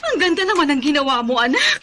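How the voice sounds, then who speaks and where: A woman's voice: high-pitched, sing-song, fast talker, very noisy. A woman acting in a radio drama as a patient, protective middle-aged mother, tender and pleading. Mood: proud.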